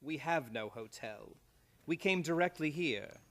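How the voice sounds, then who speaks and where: An actor playing a menacing, domineering criminal nephew with formal, commanding speech, in an old-time radio play. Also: male voice, a little high, animated, unhurried, fairly bright tone, slight background noise. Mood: coldly commanding.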